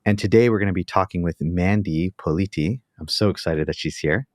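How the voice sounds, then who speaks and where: A man's voice: deep voice, fairly quick, no room sound. A man hosting a podcast, enthusiastic and casual, with lots of "like" and "you know". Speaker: podcast host.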